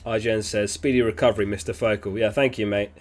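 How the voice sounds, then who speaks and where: A man with a somewhat low voice hosts a live stream in a loose, chatty way. He sounds sniffly and keeps clearing his throat. He thinks aloud with easy fillers as he answers viewers. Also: fairly even intonation, fast talker. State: sniffly, getting over an illness. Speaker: fantasy football livestream host. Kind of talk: casual livestream chat reading viewer messages.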